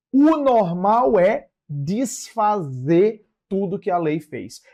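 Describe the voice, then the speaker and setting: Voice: mid-range voice, darkish tone. A Brazilian law teacher giving an explanatory lecture, didactic, repeating and rephrasing key phrases with short check-in tags.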